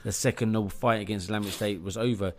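A man's voice: lowish voice, fairly even intonation, fast, a little hiss or hum. A man talking earnestly as a guest in a casual group chat, with many restarts. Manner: earnest, talks through tangents with restarts. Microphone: live audio room.

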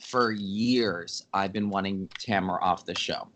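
A man with a slightly raspy voice, a guest on a podcast, chatting in an animated and gossipy way. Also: low voice, takes it slowly, a little hiss or hum. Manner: animated and gossipy, says "baby" and "babe". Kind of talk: casual chat.

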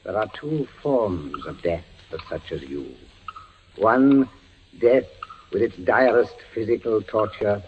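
An actor playing a cold, menacing ghostly inquisitor with a deliberate, ominous manner in 1940s radio suspense. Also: male voice, on the low side, expressive. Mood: quietly menacing. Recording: muffled, lightly noisy 1940s radio recording.

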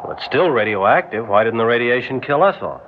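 An actor playing a dutiful, inquisitive alien lieutenant in an old-time radio drama, plain-spoken and direct. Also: male voice, mid-range voice, lively intonation, fairly quick, phone-quality sound, close to an everyday voice. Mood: curious. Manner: clipped reporting, plain questioning. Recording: thin, noisy vintage radio recording.

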